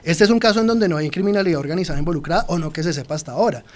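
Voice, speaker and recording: Un hombre colombiano con acento colombiano, invitado experto en una entrevista de radio, que explica con calma y se dirige directamente a los oyentes. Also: male voice, a little high, rapid-fire. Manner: explanatory, addresses listeners directly. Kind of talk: radio interview.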